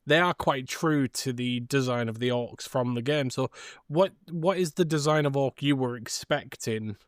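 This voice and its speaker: A man co-hosting a podcast, chatting casually and giving easygoing, measured opinions about films. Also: medium pitch, clean tone, dry recording, studio quality. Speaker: podcast co-host. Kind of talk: casual movie discussion.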